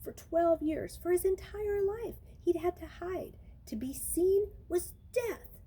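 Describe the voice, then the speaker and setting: A woman's voice: very high voice, lively intonation, takes it slowly, dark tone. A woman reading a children's novel aloud, narrating expressively and dramatizing the story.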